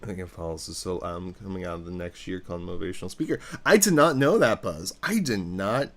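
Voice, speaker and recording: Male stream host with a low, deep voice; chatty, rambling, eager. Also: fast. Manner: rambling, excitable fan talk. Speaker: livestream host. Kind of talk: casual livestream chat.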